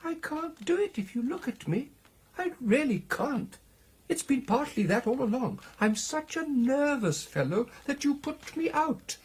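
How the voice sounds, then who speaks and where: A man's voice: very high voice, sing-song, measured pace, darkish tone, breathy edge, pitched above the performer's own voice, exaggerated voice. A man voicing a wretched, nervous ghost in a radio play, with a thin, whimpering, plaintive voice. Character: wretched, nervous, feeble male ghost who despairs of himself.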